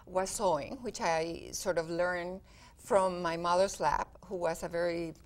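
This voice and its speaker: A woman from Costa Rica, a guest artist, answering interview questions in a calm, articulate way. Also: lowish voice, a little slow.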